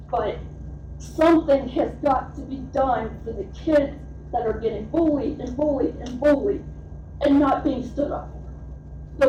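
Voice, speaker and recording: A woman speaking as a parent at a public comment, earnest and halting, with frequent fillers. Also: sits high, mellow, muffled recording, slight background noise. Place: school board meeting room. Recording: echoey meeting room from far off.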